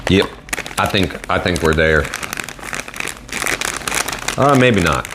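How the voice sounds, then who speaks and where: A man with a Southern American accent casually reviewing food on camera in a relaxed, rambling way. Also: deep voice, slow, background noise. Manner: laid-back, rambling, self-deprecating. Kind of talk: casual solo video review.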